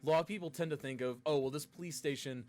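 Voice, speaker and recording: A man's voice: a little high, fast talker, darkish tone. A man hosting a livestream competition, interviewing contestants in an upbeat way with frequent fillers. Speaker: host.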